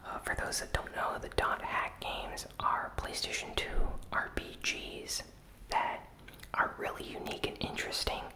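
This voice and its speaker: A host giving ASMR gaming news commentary in a breathy, unvoiced whisper, soft-spoken and unhurried.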